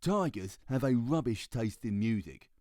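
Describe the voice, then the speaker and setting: Storybook big brother, played by a man: boyish, raised pitch, sensible, dry, patient. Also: animated, unhurried, warm tone, no echo, natural voice. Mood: dry, sure.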